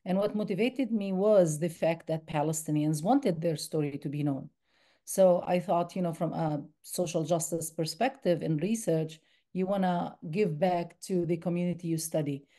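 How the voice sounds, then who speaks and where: Woman from the West Bank, filmmaker guest, lowish voice, mellow tone, thoughtful. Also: normal speed. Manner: thoughtful, restarts often. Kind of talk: answering interview questions. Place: university screening room.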